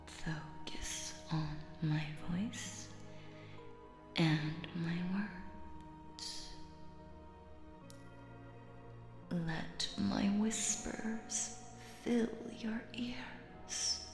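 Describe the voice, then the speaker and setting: Slow, silky sinister stage magician played by a woman. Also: on the low side, echoey room, whispered, music in the background. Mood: hypnotic, hushed. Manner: theatrical, slow, silky, addresses listener as dear. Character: sinister, smiling female stage magician, possessive and coaxing. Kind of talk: scripted roleplay audio acting.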